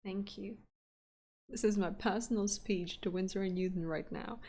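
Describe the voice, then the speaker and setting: A woman's voice: medium pitch, fairly even intonation, moderate pace, dark tone. A woman chatting casually and rambling with frequent laughs as she paints on a live stream. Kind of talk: casual chat while painting.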